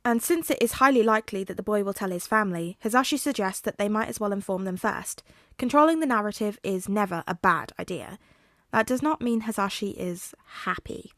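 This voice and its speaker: A woman's voice: medium pitch, a little fast, fairly bright tone, dry recording, studio quality. A woman reading a scripted story aloud as its narrator. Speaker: podfic narrator.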